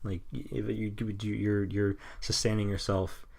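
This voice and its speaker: A young man in his mid-twenties with a very low voice talks alone into a podcast mic. He rambles and restarts often, trails off into nervous chuckles, then drops blunt, cynical remarks with casual swearing. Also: level tone, creaky, darkish tone, slightly rough. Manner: halting, full of restarts and nervous laughs. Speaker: podcast host. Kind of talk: rambling solo podcast monologue.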